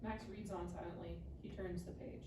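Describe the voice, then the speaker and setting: A woman's voice: lowish voice, steady tone, normal speed. A woman reading stage directions as scripted narration at a live table read. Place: live reading event in a room. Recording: echoey room, distant mic, clear noise.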